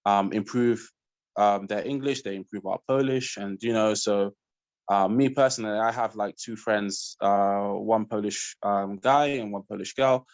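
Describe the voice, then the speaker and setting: A man talking as a student guest on a webinar, relaxed and rambling with lots of fillers, over a video call. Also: fairly low pitch, measured pace, dry recording, dull audio. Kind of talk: casual chat.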